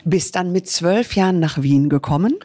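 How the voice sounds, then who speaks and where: A woman's voice: fairly low pitch, normal speed, mellow, breathy edge. A middle-aged woman hosting a podcast, chatting with effusive enthusiasm. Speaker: podcast host. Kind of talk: lively interview chat.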